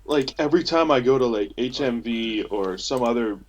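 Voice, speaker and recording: A man's voice: medium pitch, normal speed. A young man, chatting casually as a podcast co-host. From Canada. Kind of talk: casual group chat. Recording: faint noise, keyboard clicks.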